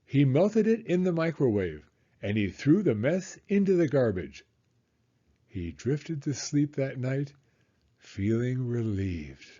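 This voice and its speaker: A man reading a children's story aloud in a theatrical, suspenseful way with drawn-out emphasis. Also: medium pitch, big pitch swings, dark tone, highs cut off.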